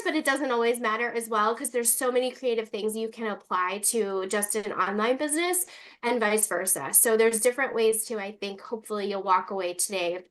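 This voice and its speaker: A woman's voice: medium pitch, fairly bright tone. A woman hosting a webinar on a video call, chatty and loose as she introduces the guest speaker. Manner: chatty, many ums and likes. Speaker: webinar host.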